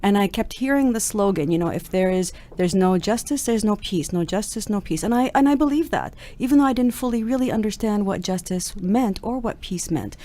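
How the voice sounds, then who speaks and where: A woman's voice: mid-range voice, animated, brisk, hardly any pauses, warm tone. A woman from Canada, a guest on a radio interview, reflecting thoughtfully on her reasons.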